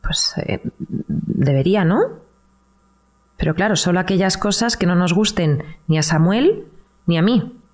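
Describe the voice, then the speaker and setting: An actress with a Castilian Spanish accent playing an incredulous, outspoken dinner guest in a naturalistic, conversational audio drama. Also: female voice, on the low side, expressive, measured pace, long pauses, darkish tone. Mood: astonished. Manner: conversational, emphatic.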